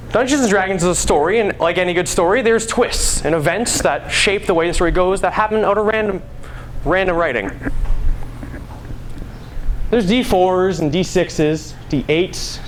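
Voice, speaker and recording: High-voiced young man giving a speech. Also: rough recording. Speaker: student presenter. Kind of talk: prepared live speech. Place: school stage. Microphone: clip-on mic. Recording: live room, background noise.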